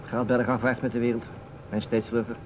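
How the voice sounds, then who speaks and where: An actor playing a pessimistic police inspector in a radio drama, grumbling in clipped phrases. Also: male voice, medium pitch, little pitch movement, brisk, close to an everyday voice. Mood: gloomy grumbling. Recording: muffled, noisy old radio recording.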